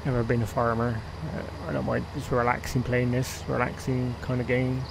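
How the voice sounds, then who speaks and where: A man's voice: mid-range voice, monotone, a little slow, gravelly, background noise. A man with a West Country English accent chatting casually while streaming a game, rambling and laughing often. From England. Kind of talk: casual live-stream chat.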